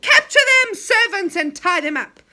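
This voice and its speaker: A woman voicing a blustering, angry male enchanter who shouts his commands loudly. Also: sits high, unhurried. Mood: furious.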